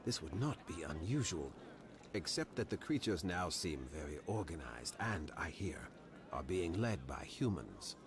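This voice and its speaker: An actor playing a grave, formal man who gives out quests in a fantasy game, with measured, old-fashioned phrasing. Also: deep voice, sing-song, a crowd in the background, exaggerated voice. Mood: measured, advising. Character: grave, formal man directing a hunt for cultists. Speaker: quest-giving game character. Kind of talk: scripted fantasy game dialogue.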